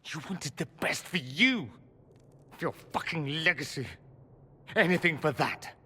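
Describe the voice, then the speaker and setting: An actor playing a proud, sardonic, wounded young mage of noble birth in a video game, articulate and theatrical. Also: male voice, a little high, animated, a little slow, bright, forceful tone, long reverb tail, slight background noise. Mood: bitter, angry. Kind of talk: dramatic video game acting.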